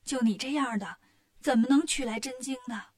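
A woman's voice: high-pitched, normal speed, a little mellow. A woman voicing a scheming female demon who poses as a pitiful captive girl, plaintive and pleading, in a story. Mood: reproachful.

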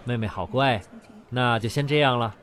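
A man's voice: medium pitch, normal speed, fairly bright tone, close to an everyday voice. Man acting a courteous, reassuring hospital doctor. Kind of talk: radio drama acting.

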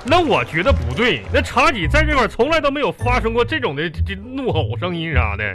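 High-pitched, exasperated Northeastern Chinese husband. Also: male voice, wildly swooping pitch, quick pace, fairly bright tone, faint music behind, full character voice. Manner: stammering, repetitive, complaining. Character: exasperated, grumbling middle-aged husband, long married. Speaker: radio show host and comedy actor. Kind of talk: live comedy radio drama.

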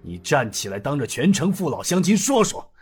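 A man's voice: fairly high pitch, big pitch swings, moderate pace, faint music behind. A man voicing a stern, scheming county magistrate with a commanding, sneering way of talking. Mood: scornful.

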